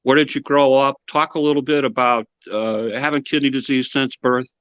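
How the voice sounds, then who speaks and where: A man's voice: medium pitch, fairly even intonation, normal speed, a bit bright, clean tone, dry recording. A man hosting a live show, introducing his guest with announcer-style enthusiasm and then asking him questions. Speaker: host. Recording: dull, slightly lo-fi audio.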